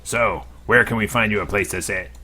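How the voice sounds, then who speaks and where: A man's voice: on the low side, normal speed, pushed and bright, slightly rough, faint music behind, heavily acted. Bossy camp leader woman, as a man puts her on. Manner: commanding, scolding orders.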